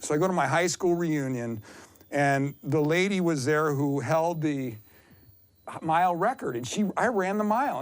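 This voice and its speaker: A man in his fifties telling casual stories as an interview guest, excitable and self-deprecating, often rambling and acting out what was said. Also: on the high side. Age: 50s. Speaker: endurance athlete, interview guest. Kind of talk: casual, anecdotal interview storytelling.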